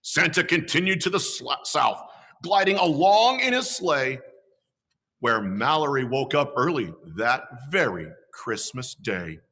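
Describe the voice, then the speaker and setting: A man dressed as Santa, reading a children's story aloud slowly and expressively. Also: in a large hall. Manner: slow, highly expressive.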